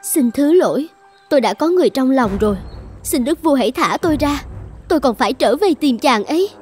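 A woman's voice: sits high, animated, brisk, darkish tone, faint music behind. A woman voicing a captive young princess, defiant and pleading, in a cartoon fairy tale.

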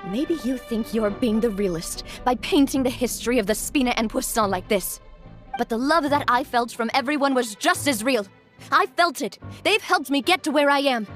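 A young woman who leads a gang in a video game. Her voice is high and earnest, heartfelt and determined, with soft music behind it. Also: moderate pace. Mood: resolute. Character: young female boss of a crime family, earnest and resolute.

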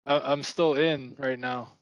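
A young man from the Philippines, Tagalog his first language, talks easygoingly on a video call. His mid-pitched voice is close and dry through a headset, and he lets his sentences fade out softly. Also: fairly even intonation, measured pace, no echo, lo-fi sound. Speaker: support engineer guest. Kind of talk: casual video call chat.

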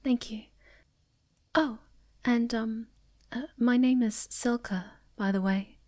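A woman playing a sweet, timid young moth girl in an ASMR roleplay, speaking softly and breathily with hesitant stammers. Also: a little high, fairly even intonation, slow. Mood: shy, warm. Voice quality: soft, breathy, often near a whisper.

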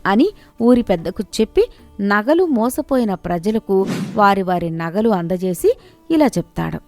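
A woman's voice: medium pitch, sing-song, measured pace, no echo. A woman reading a scripted moral story as its storyteller. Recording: music behind the voice.

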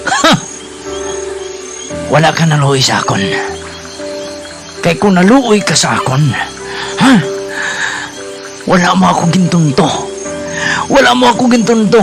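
A man's voice: sits high, big pitch swings, unhurried, pauses often, music in the background, full character voice. A man with a Hiligaynon accent playing a drunken, bitter father in a melodramatic radio drama, badgering and repeating himself. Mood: accusing, furious. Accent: Hiligaynon (Western Visayas).

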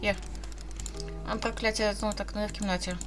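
A woman's voice: mid-range voice, level tone, moderate pace. A woman giving casual commentary as she plays a game, muttering to herself in a puzzled way and reading bits of dialogue aloud. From Ukraine. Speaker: gaming video host. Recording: music behind the voice.

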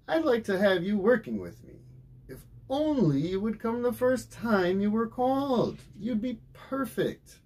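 A man acting out a storybook dad, patient yet firm, coaxing with emphatic swings of pitch. The voice is raised and a little gravelly. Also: sing-song, unhurried, a little mellow, heavily acted. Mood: kindly coaxing. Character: patient, firm father calling his son.

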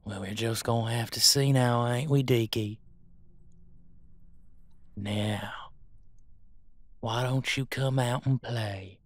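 A man's voice: mid-range voice, steady tone, slow pace, pauses often, no echo, natural voice. A man voicing a vengeful, taunting monster hunter with a menacing drawl in an audio roleplay. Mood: mocking.

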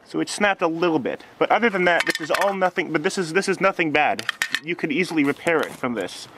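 A teenage man with a fairly high voice hosts a casual homemade vlog. He talks in an offhand, informal way into a camera outdoors, with clear noise and clicks in the recording. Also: lively intonation, quick pace. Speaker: host of an online video series. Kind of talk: casual vlog chat and demonstration. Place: outdoors in a snowy yard. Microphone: handheld camera at arm's length or set nearby.

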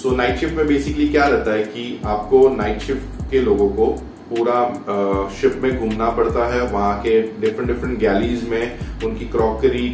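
A young man vlogging about cruise ship jobs in casual, instructive talk, switching between Hindi and English and often checking in with "theek hai". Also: medium pitch. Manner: mixes Hindi and English, repeats "ठीक है" and "आपको बताना चाहूंगा". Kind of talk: informal instructional talk to camera. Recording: roomy echo, distant mic, faint music behind.

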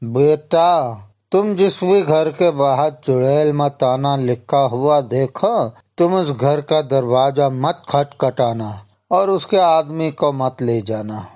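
Man acting a slow-speaking, fatherly old elder. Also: mid-range voice, darkish tone, natural voice. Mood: earnest, fatherly. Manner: measured, fatherly. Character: gentle, advising old village elder man. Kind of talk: Hindi animated story acting.

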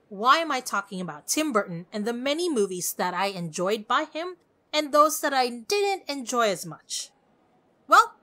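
A woman podcast host giving conversational scripted film commentary in an expressive, effusive way. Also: on the high side.

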